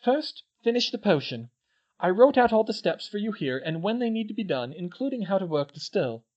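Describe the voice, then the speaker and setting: A man voicing an earnest, anxious teenage schoolgirl witch in a high, pleading voice. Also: big pitch swings, moderate pace, darkish tone. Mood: grateful, quiet. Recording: dull lo-fi recording.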